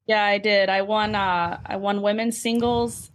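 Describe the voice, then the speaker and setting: Mid-pitched woman, casual podcast guest. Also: fairly even intonation, a little slow. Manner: informal, conversational. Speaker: podcast guest, amateur athlete. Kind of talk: casual interview answers.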